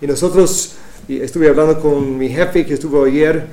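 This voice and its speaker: A man speaking Spanish as a second language, giving a halting church presentation as a Bible translation coordinator, with many restarts and fillers. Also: fairly high pitch, steady tone, dark tone, reverberant, low-quality recording. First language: not Spanish.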